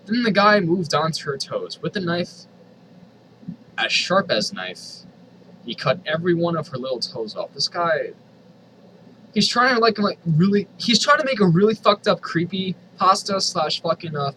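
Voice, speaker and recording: A man's voice: sits high, sing-song, normal speed, background noise. A man reading a story aloud in a theatrical, comedic way, with incredulous asides. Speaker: host reading fan fiction aloud.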